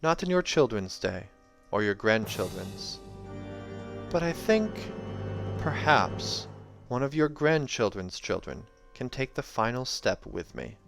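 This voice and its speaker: A hoarse man voicing a bound ancient goddess, speaking slowly and solemnly in a hushed voice. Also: mid-range voice, sing-song, over music, exaggerated voice.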